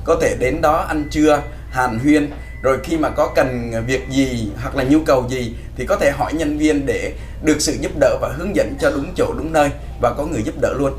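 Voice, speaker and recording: A man interpreting for the show explains things at length in a mid-pitched voice, leaning on small fillers. Faint music plays behind him. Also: brisk, hardly any pauses. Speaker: co-host interpreter. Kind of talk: explaining in Vietnamese.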